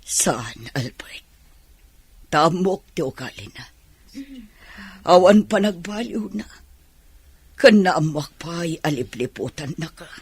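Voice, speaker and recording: A man's voice: fairly high pitch, lively intonation, slow pace, pauses often, slightly rough, no room sound, full character voice. A man acting a sorrowful older mother who mourns her only child in a Filipino radio drama, with a Filipino accent. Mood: mournful.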